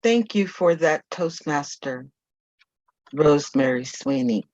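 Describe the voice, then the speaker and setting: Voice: mid-range voice, animated, takes it slowly, a little mellow, no room sound, muffled recording. A general evaluator introducing her team in an online meeting in a formal, wordy manner.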